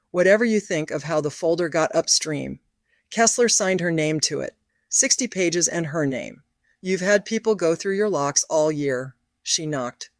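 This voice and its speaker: A woman's voice: on the low side, dry recording, studio quality. A woman playing a stern, plainspoken middle-aged inspector in an audio drama, clipped and matter-of-fact. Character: stern, plainspoken veteran female utility inspector.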